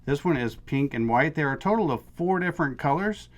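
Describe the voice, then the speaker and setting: A man's voice: a little high, animated, a little fast. A man in his thirties, casually demonstrating a product and explaining it step by step in an upbeat way. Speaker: product reviewer.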